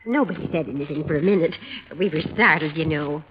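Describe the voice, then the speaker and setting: A woman's voice: deep voice, fast talker, close to an everyday voice. An actress playing a fussy, insistent middle-aged woman witness in a 1950s radio drama, prim and emphatic, growing defensive. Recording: dull lo-fi old radio broadcast with hiss.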